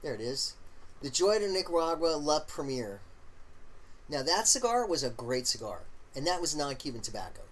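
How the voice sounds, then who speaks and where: A man hosting a casual live stream, rambling along in a halting way with lots of fillers and the odd throat clear. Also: fairly high pitch. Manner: relaxed, opinionated talk about smoking.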